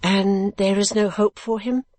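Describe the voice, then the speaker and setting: A reader voicing a compassionate, well-bred young woman from a storybook, polite and earnest in a soft manner. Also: medium pitch, sing-song, slow pace, breathy edge, voice pushed up, heavily acted. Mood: gentle concern.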